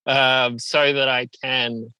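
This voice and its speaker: Guy being interviewed about his music, bright voice, super dry sound, chatty, quotes himself a lot. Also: medium pitch, flat delivery, slow pace, clean tone, dry recording. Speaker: interview guest, singer-songwriter. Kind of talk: casual chat.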